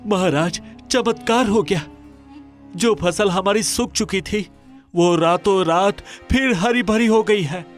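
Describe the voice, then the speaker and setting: Guy putting on a thrilled village farmer for a cartoon tale, high pitched, super grateful and humble. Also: slow, soft background music. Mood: joyful, amazed. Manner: exclaiming, deferential. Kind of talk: Hindi cartoon moral story acting.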